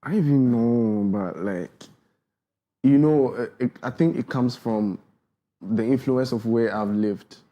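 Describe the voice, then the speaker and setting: A man's voice: medium pitch, unhurried, mellow, highs cut off. A young man with a Ghanaian accent, a rapper chatting casually as a guest in an interview and often asking if you understand. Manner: laid-back, often asks "do you understand?".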